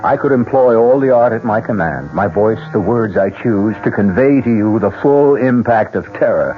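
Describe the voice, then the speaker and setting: A man performing as the theatrical, sinister host and narrator of a radio mystery, measured and dramatic. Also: fairly low pitch, lively intonation, lightly acted. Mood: ominous. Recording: dull old radio broadcast. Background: music.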